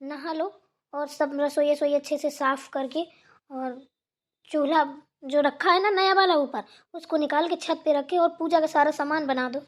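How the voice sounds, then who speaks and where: A woman voicing a bossy but affectionate Indian mother-in-law, brisk and scolding in a cartoon style. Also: sits high, fairly even intonation, natural voice. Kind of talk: Hindi family cartoon voice-over.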